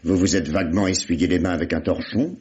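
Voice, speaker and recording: A man's voice: low-pitched, fairly even intonation, a little fast, darkish tone, natural voice. An actor playing a wry, insistent investigator in a vintage radio mystery, sardonic and falsely fatherly as he questions a suspect. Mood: insistent, coaxing. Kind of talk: 1950s French radio mystery acting. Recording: dull lo-fi old radio recording.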